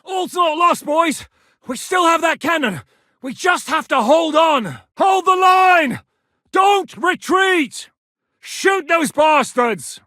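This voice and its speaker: An actor voicing a seasoned, commanding male war captain in a video game, blunt and quick to bark orders. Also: very high voice, lively intonation, bright, forceful tone, no echo, clean studio sound, exaggerated voice. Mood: battle shouting. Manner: barks battle orders, brash and blunt. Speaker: military commander.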